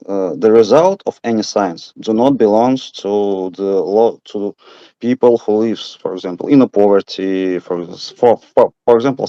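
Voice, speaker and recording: Russian-accented guy, mellow lowish voice, dry dull webinar call audio, stumbling for words. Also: no echo. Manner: halting, searching for English words. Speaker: audience member asking a question. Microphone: webinar video call.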